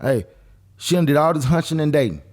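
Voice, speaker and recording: A man's voice: on the high side, sing-song, brisk, darkish tone. Emphatic man in casual podcast chat. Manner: emphatic, repeats phrases for effect. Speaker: podcast co-host.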